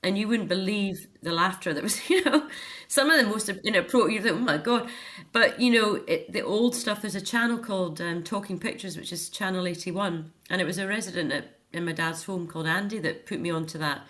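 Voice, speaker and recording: A woman's voice: medium pitch, fairly quick, few pauses, a bit bright. A middle-aged woman with a Scottish accent, chatting earnestly and telling anecdotes as a guest in an informal interview. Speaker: interview guest, author and care home activities volunteer or worker. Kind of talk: informal radio interview chat.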